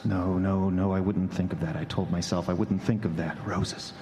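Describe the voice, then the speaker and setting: A man's voice: sits low, fairly even intonation, dark tone, natural voice. A man acting an obsessive, irritable older scientist haunted by guilt, in old-time radio drama style, brooding and snapping. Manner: brooding, halting self-talk and snappish orders. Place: stage before a live audience. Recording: echoey hall, live stage, background noise.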